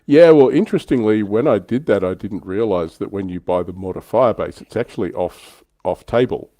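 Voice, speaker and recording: A very deep-voiced Australian man hosts a casual hobby livestream. His tone is soft-edged and mellow, and the recording is close and dry. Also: a little fast, dry recording. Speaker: livestream host.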